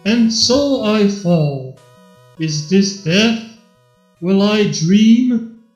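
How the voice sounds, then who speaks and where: A man's voice: sits high, animated, drawn-out words, echoey room, full character voice. A man voicing a theatrical, honourable robot villain in a fan story, declaiming pompously in archaic, grandiose speech. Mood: dying, theatrical. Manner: pompous, declamatory Shakespearean delivery. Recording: dull lo-fi with background music.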